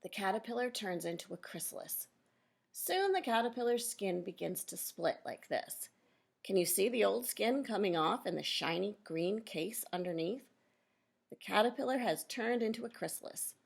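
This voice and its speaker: A woman reading a children's nonfiction book aloud as a teacher, pausing to explain and question her young listeners directly. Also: mid-range voice, animated, gravelly, husky.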